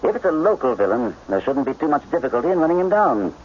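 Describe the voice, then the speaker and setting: An actor playing a shrewd, inquisitive detective in a radio mystery drama, with a wry, precise, probing manner. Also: male voice, medium pitch, very animated, quick pace, lightly acted. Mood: wry, musing. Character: shrewd, inquisitive gentleman detective, recovering from illness. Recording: muffled, hissy old radio recording.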